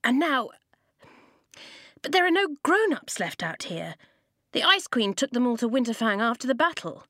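A woman voicing a bold, wary young boy who talks quick and raised. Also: on the high side, big pitch swings, sharp tone, dry recording, studio quality, voice pushed up, full character voice.

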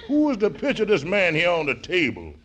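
An actor playing a nosy, blunt older uncle in a 1940s radio comedy, asking questions in folksy, ungrammatical speech. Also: male voice, sits high, wildly swooping pitch, fairly quick. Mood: suspicious. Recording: muffled, noisy 1940s radio recording.

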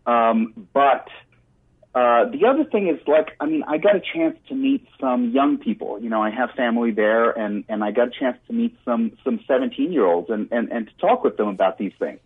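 A man chatting casually as an interview guest, halting and repeating words as he thinks. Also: medium pitch, husky. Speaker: interview guest, political commentator. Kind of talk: casual spontaneous interview chat. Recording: muffled, band-limited remote line.

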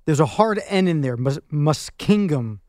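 A man's voice: fairly high pitch, expressive, unhurried, dark tone, no room sound, studio quality. A man who is a regular on a radio show, speaking in casual banter.

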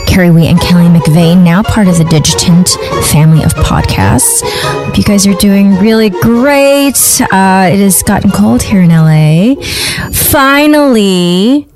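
A woman hosting a podcast from Los Angeles, chatting casually with a relaxed manner and many fillers. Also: mid-range voice, measured pace, music in the background, poor audio. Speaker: podcast host.

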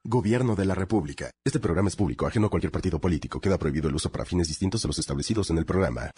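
A man's voice: deep voice, very fast, a little mellow, breathy edge. A man with a Mexican accent reading a scripted government announcement as an advert announcer.

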